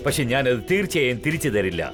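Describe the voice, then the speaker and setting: A man's voice: mid-range voice, big pitch swings, quick pace, lightly acted. Smug, suave cartoon rich man, acted. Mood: firm, self-important. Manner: suave, self-important, drops in English phrases. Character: smug wealthy male art-buying cartoon villain. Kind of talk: children's cartoon dub. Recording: music behind the voice.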